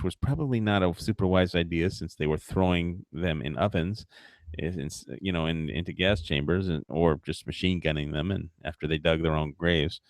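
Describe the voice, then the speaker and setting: A man's voice: deep voice, no room sound. A man hosting a livestream, rambling in casual commentary with frequent fillers, profane and mocking. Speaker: livestream host.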